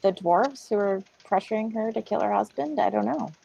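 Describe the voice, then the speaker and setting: A woman's voice: mid-range voice, brisk, creaky, warm tone, rough recording. A woman thinking aloud casually as a player in an online tabletop game. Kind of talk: casual speculative game discussion. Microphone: online group call.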